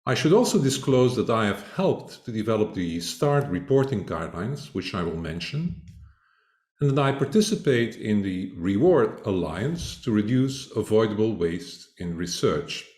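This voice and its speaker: Older Belgian-born academic lecturing, lively pitch. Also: male voice, roomy sound. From Belgium (Flanders). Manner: measured, deliberate lecturing. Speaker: conference speaker. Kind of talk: academic conference presentation.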